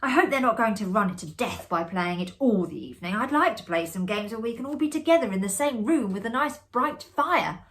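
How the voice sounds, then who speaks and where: A woman's voice: on the high side, animated, brisk, hardly any pauses, pitched above the performer's own voice, natural voice. A woman voicing a sociable society lady in a ghost story, chatty, confiding and a little uneasy. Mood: confiding, weary.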